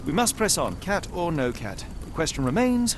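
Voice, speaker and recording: A Victorian English detective for a game cutscene. He speaks in a slightly high register, formal and exact, with cool condescension. Busy outdoor noise sits clearly behind him. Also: sing-song, moderate pace. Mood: dry, dismissive. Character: coolly rational, haughty Victorian detective, adult man. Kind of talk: video game cutscene acting.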